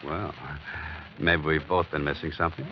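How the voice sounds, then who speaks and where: A cocky investigator in a 1940s radio thriller. His voice is low, his delivery breezy and insinuating. The recording is muffled, with faint hiss. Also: steady tone, moderate pace. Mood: smooth, persistent. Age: young adult. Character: cocky, nosy male investigator, wry and persistent. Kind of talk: 1940s radio drama acting.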